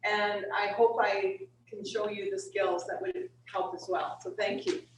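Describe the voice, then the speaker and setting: A woman's voice: mid-range voice, fairly even intonation, a little slow, a little hiss or hum. An older retired woman offering herself as a board director, speaking earnestly with some hesitation. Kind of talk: candidate speech at a meeting. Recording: echoey room, far from recorder.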